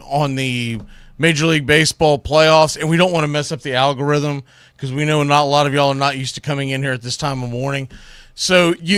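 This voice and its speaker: Folksy man hosting sports talk, slightly high voice. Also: fairly bright tone, clean tone, dry recording, clean studio sound. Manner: folksy, rambling, informal with Southern-style turns like y'all. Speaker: sports show host. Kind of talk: casual solo livestream sports commentary.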